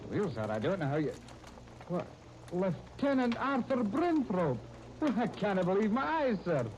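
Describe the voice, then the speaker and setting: Animated Scottish army private. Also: male voice, high voice, unhurried. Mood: surprised, eager. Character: talkative, eager, deferential Scottish private, captain's orderly. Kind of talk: 1930s radio serial acting. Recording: noisy 1939 radio transcription disc.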